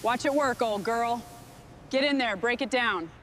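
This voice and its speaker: A woman's voice: fairly high pitch, unhurried. A woman playing a determined mechanic who leads a machinery crew, speaking in terse, focused muttering and commands. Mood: focused, appraising. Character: determined hands-on female mechanic leading a heavy machinery restoration crew. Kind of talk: scripted workplace dialogue with muttering and commands. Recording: loud machinery and vehicle noise behind.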